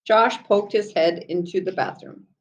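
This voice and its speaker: A woman narrates a children's chapter book at a slow, unhurried pace. Her medium-pitched voice moves deliberately through each sentence, as in a classroom read-aloud. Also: highs cut off.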